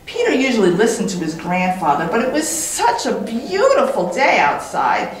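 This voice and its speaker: A woman reading a children's story as a teacher, in an expressive, animated way with dramatic pauses and repetition. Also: a little high, slight background noise. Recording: very echoey room.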